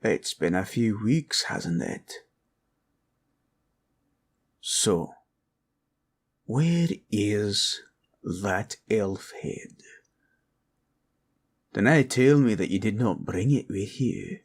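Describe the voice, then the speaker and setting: A man playing a greedy, menacing green dragon in a villain roleplay audio, gravelly and drawling as he gloats and haggles. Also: fairly low pitch, lively intonation, heavily acted. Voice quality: gravelly, creaky. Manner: slow, drawling, grumbling and haggling.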